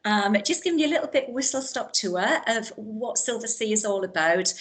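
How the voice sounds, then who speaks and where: A woman's voice: lively intonation, normal speed. A middle-aged woman with a Geordie accent, presenting to a virtual travel show in a chatty sales manner with plenty of ums. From northeast England. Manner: chatty sales pitch with frequent ums and direct address. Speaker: cruise line account manager.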